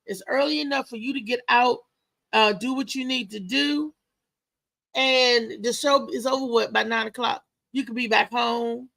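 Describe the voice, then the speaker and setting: A woman hosting a casual live show as a comedian, talking in a loud, lively, chatty way with lots of exclamations. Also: on the high side, moderate pace, vocal fry. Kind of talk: casual live-stream chat and promotion.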